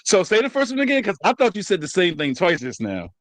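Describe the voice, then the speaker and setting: A man's voice: high-pitched, wildly swooping pitch, fast, a bit bright, no room sound. A man hosting a casual interview, asking easygoing questions and answering with short acknowledgments. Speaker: host.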